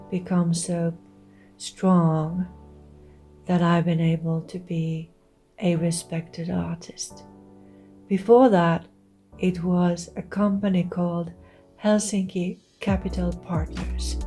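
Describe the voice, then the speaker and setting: A woman's voice: on the low side, mellow. A middle-aged woman from Finland with a Finnish accent, an artist speaking earnestly and heartfelt to camera, with faint music behind. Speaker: visual artist. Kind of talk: monologue to camera.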